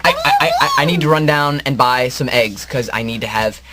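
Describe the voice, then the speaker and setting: A man's voice: medium pitch, lively intonation, fairly quick, natural voice. Behind heavy noise and clicks, a bumbling superhero acted by a man talks in a mid-range voice. He is self-important yet awkward, stammering his way through lines in homemade radio comedy style. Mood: flustered. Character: bumbling, hesitant, self-important male superhero in secret identity. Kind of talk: amateur comedy radio drama acting. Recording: very noisy home recording with clicks.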